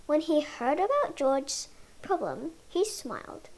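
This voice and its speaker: A female reader reading a children's picture book aloud, halting word by word in short phrases. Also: high-pitched, very animated, a little mellow. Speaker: storybook reader.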